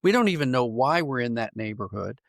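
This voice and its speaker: A man's voice: mid-range voice, sing-song, brisk, vocal fry, dry recording, studio quality. A man speaking as an interview guest, reflective and conversational, thinking aloud and correcting himself as he goes. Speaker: podcast guest, writer and dramatist.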